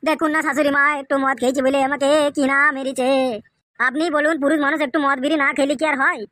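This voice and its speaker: A man's voice: very high voice, flat delivery, quick pace, sharp tone, no echo, clean studio sound, faint music behind. A man voicing a whining, cowardly, hard-drinking henpecked husband in a comic cartoon, pleading and making excuses through a sped-up voice effect. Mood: aggrieved. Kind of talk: comic Bengali cartoon dialogue.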